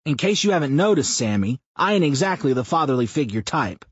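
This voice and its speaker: A loud, brash joker who swears freely and needles everyone, as an audiobook narrator puts him on. He sits higher than the narrator's own voice and pushes hard. The sound is husky, dull and dry. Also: very animated, a little fast, no room sound. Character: crude loud brash man, foul-mouthed joker.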